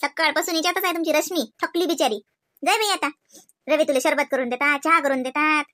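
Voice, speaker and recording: A woman's voice: high voice, fast, sharp tone. A woman voicing fussy, playful housewives in a Marathi comedy cartoon, with a Varhadi accent and exclamatory, chatty delivery. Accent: Varhadi Marathi from Vidarbha.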